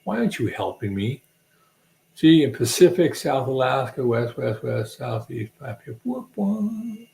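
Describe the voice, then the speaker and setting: A man's voice: medium pitch, sing-song, unhurried, vocal fry, darkish tone, reverberant. A man hosting a casual livestream, rambling and joking while he hums to himself and searches for things. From Alabama. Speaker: livestream host.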